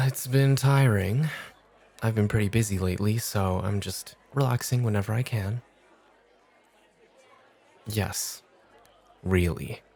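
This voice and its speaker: A man playing a cool, exacting off-duty club host who teases and challenges in a measured way, in an ASMR roleplay. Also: fairly low pitch, gravelly, darkish tone, slightly rough, a faint crowd, full character voice. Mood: relaxed. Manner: challenging, measured, coolly teasing.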